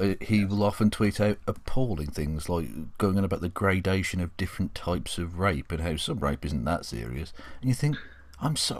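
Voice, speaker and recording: A man co-hosting a casual, opinionated discussion in an emphatic, exasperated manner. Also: low-pitched. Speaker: co-host.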